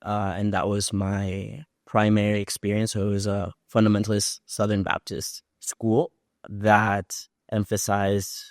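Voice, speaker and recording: A man's voice: on the low side, monotone, unhurried, dry recording, studio quality. A man from Puerto Rico talking as a podcast guest, telling his personal story in a reflective, conversational way, often rephrasing himself.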